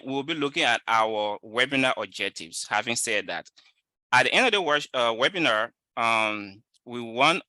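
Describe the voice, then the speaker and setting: A man's voice: fairly low pitch, sharp tone, clear, resonant tone, no room sound. A man from Liberia with a Liberian accent, giving an informal webinar lecture in an explanatory, emphatic way. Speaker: webinar speaker, student researcher.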